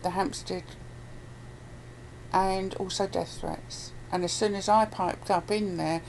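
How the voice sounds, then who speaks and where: A woman's voice: mid-range voice, fairly even intonation, slow pace, darkish tone. A British woman chatting casually and bluntly as an interviewee, with some swearing. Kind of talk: casual conversation. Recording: noisy amateur recording with background noise.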